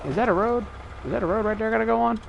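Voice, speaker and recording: A man's voice: high-pitched, racing, dull audio. A man with a stuffy nose from allergies, thinking aloud in casual live commentary as he streams a truck-driving game. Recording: clear game engine and truck noise behind voice. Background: truck engine and vehicle sounds from the game.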